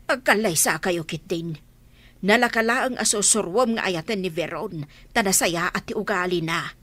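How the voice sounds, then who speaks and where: A woman's voice: mid-range voice, normal speed, fairly bright tone, dry recording, lightly acted. A woman acting the part of an aging mother who pleads for grandchildren in an Ilocano radio drama. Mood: insistent.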